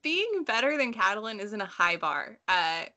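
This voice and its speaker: A woman talking casually and bluntly in an informal book discussion, telling stories in an animated way. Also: mid-range voice, normal speed. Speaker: book read-along panelist. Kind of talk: casual discussion, personal anecdotes.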